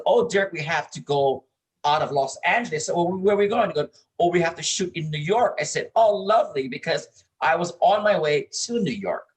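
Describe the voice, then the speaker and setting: A middle-aged or older man from Hawaii telling stories as an interview guest, expressive and given to repeating words for emphasis. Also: a little high. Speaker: interview guest, performer and producer. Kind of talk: casual interview storytelling.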